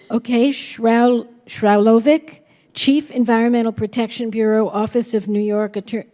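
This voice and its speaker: An older woman hosting a press conference, introducing speakers one at a time in brisk remarks. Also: medium pitch, dark tone.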